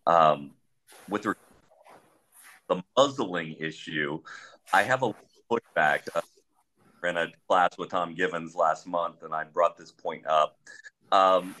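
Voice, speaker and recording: A man with a big voice that sits very low, with a bright, forceful edge to it. He speaks bluntly and measuredly as a police trainer on a discussion panel. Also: takes it slowly, long pauses, low-quality recording.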